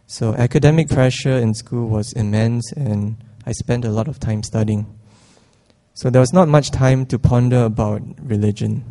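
A young man from Singapore giving a prepared church testimony, hesitant and full of fillers, with a voice he says others call whiny and robotic. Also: fairly low pitch. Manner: hesitant, many fillers, soft-spoken.

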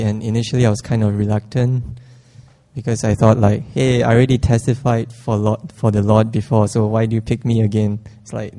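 A young man from Singapore giving a prepared church testimony, hesitant and full of fillers, with a voice he says others call whiny and robotic. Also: lowish voice. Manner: hesitant, many fillers, soft-spoken.

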